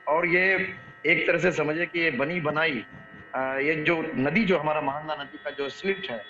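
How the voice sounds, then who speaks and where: Brief: male debate guest, Bihari accent, higher-pitched, halting and repetitive, on a roomy online call. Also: noisy background. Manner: halting, repetitive, searching for words. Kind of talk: extempore discussion of local issues. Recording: echoey muffled online call, background crowd murmur.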